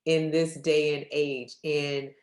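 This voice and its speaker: Lady host w a lowish voice, gushing to viewers on a faith interview show, roomy echo. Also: flat delivery, takes it slowly, darkish tone. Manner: effusive, addressing the audience directly. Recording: roomy, echoey sound.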